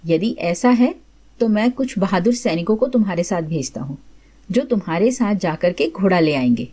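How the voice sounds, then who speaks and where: A woman's voice: mid-range voice, expressive, normal speed, dark tone, lightly acted. A woman voicing a commanding king in a folk tale, questioning and giving orders. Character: commanding male king of an old Indian court.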